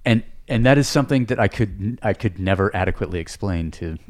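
Male podcast host; lowish voice, relaxed, easy chat with a joking streak. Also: a little fast. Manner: chatty, reflective, joking. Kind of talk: casual podcast conversation.

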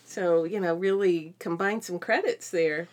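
A woman's voice: on the low side, unhurried. A woman co-hosting a casual podcast in an agreeable, easygoing conversational way. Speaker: podcast co-host.

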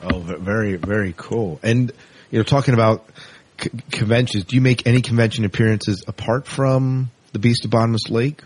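A man with a lowish voice hosts a casual podcast interview. His questions come over a faintly noisy recording with some clicks. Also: fairly even intonation, normal speed, a little mellow. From US East Coast.

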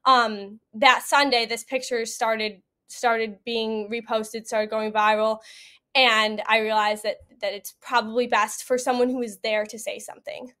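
A woman's voice: on the high side, level tone, unhurried, pushed and bright. A young woman giving a conversational eyewitness account as an interview guest, speaking earnestly with frequent "you know" asides. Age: young adult. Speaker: interview guest, World Youth Day attendee.

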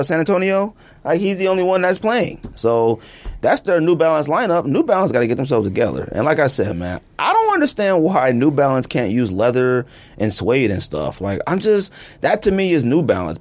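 A man hosting a casual sneaker podcast, talking in an opinionated, teasing way. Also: mid-range voice. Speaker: podcast host.

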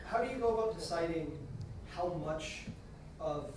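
A man's voice: fairly high pitch, expressive, normal speed. A man asking questions casually from the audience. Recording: distant, echoey room with noise.

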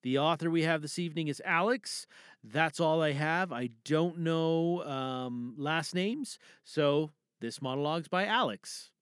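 A man's voice: on the high side, measured pace, a bit bright, smooth, no room sound, studio quality. A man hosting a podcast with casual, theatrical chat, rambling and showily talking himself through what he does. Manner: rambling, showy, talks himself through actions. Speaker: host of an audio drama podcast.

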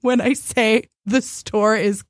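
A young woman co-hosting a casual studio chat, her voice a little high. She tells stories in a lively, chatty way and laughs through them, and the sound is dry and close. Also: slow, no room sound. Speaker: podcast co-host.

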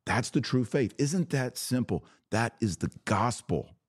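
A man hosting a religious podcast, preaching in an emphatic, declarative way with deliberate pauses and repetition. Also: medium pitch, expressive, breathy edge, no room sound, clean studio sound. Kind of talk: preaching monologue.

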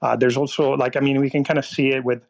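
A man from Italy calling in to a live audio room, talking in a halting way with many fillers and restarts. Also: mid-range voice, little pitch movement, vocal fry, darkish tone. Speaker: caller. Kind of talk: casual political discussion.

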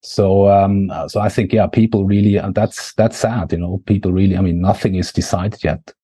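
A man with a Swiss accent talks in a low, dark, husky voice. He speaks haltingly as an interview guest, circling back through fillers and restarts. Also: fairly even intonation. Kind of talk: conversational interview.

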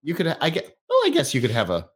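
A man's voice: mid-range voice, wildly swooping pitch, quick pace, husky. A man co-hosting a podcast in casual chat, wry and emphatic with his opinions. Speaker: podcast co-host.

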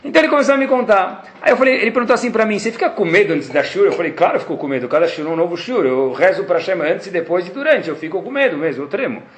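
Animated Brazilian rabbi giving a lecture. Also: male voice, high voice, very fast, hardly any pauses, roomy sound. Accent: Brazilian Portuguese. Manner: animated storytelling, acts out quoted dialogue. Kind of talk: Torah class lecture.

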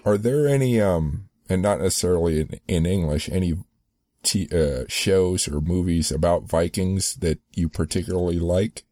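Very low, dark-voiced hesitant interviewer. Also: male voice, moderate pace, no room sound, studio quality. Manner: hesitant, rambling questions full of restarts. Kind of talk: casual interview questions.

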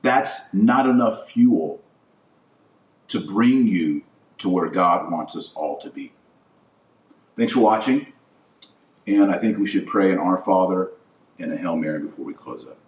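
A man's voice: low voice, animated, a little slow, pauses often. An American man hosting a podcast, delivering an earnest political and religious monologue with emphatic repetition and building lists. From United States. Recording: roomy echo, distant from the mic.

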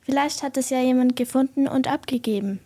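A female performer playing a calm, reassuring mother in a children's radio play. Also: high voice, brisk, noisy background, close to an everyday voice.

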